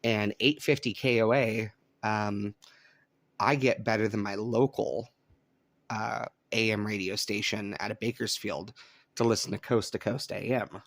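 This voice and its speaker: A man from Colorado hosting a relaxed show-and-tell about his radio gear, easygoing and chatty with frequent ums, speaking indoors at home. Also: lowish voice, a little slow, dry recording, studio quality. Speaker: radio hobbyist channel host. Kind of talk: casual show-and-tell demonstration.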